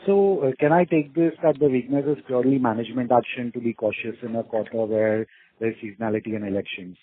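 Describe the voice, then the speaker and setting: A man asking questions as an analyst on an earnings call, pausing often mid-question. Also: medium pitch. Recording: narrow muffled phone line.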